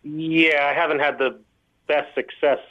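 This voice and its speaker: A man's voice: a little high, steady tone, measured pace, no echo. Awkward man on a dull phone line. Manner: awkward, hesitant, self-deprecating. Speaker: phone-in guest on a radio show. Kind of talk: casual phone interview. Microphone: phone call. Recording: muffled phone-line sound.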